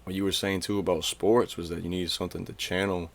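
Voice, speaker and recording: A man's voice: low-pitched, little pitch movement, quick pace, vocal fry. A man in his twenties from Maine, hosting a podcast in loose, slangy casual chat. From Maine, USA. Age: 20s. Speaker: podcast host.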